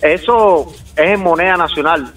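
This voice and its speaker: A man's voice: fairly high pitch, normal speed, fairly bright tone. Distant man on a call. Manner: easygoing, laughs often, repeats himself. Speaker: phone-in guest. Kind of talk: casual explanatory chat.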